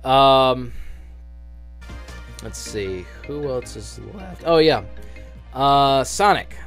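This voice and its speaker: Music plays behind a man with a mid-pitched voice. He talks casually and without a script, like a fan project organizer addressing viewers. His delivery is halting and wandering, often stopping to restart a phrase. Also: lively intonation. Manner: hesitant, halting, rambling delivery with frequent trailing restarts. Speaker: fan dub director and voice actor announcing auditions. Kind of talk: unscripted casual announcement to viewers.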